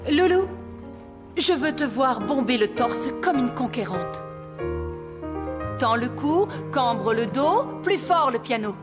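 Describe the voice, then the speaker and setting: A woman's voice: sits high, expressive, slow, pauses often, music in the background. A woman playing a strict, demanding ballet teacher in a French cartoon, giving clipped, commanding instructions.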